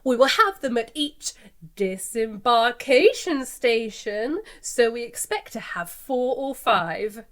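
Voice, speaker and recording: A breezy company spokeswoman, played by an actress, with a fairly high voice. She is evasive and airily confident, smoothing over every difficulty in the manner of a satirical radio news interview. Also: expressive, slow. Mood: evasive, enthusiastic. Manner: airy, confident, glossing over problems. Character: breezy, evasive female company spokeswoman pitching an absurd scheme.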